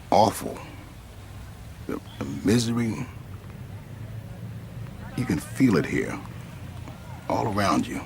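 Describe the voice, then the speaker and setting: A man's voice: low-pitched, creaky, a little hiss or hum, full character voice. A man acting in a radio play as an earnest local guide in Brazil, explaining things like a storyteller. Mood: sombre, haunted.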